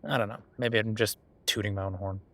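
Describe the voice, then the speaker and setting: A man's voice: fairly low pitch, fairly even intonation, brisk, sharp tone. A man playing a friendly, self-deprecating young billionaire in a casual, intimate audio roleplay, rambling informally and playfully defensive as he talks to the listener. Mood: sheepish, musing.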